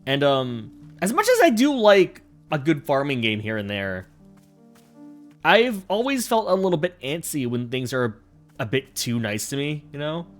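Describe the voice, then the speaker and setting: A man casually commenting on a game he is streaming, laughing often and poking fun at himself. His pitch swings widely as he talks, with a faint bed of music behind him. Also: unhurried. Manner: animated, laughing and self-deprecating.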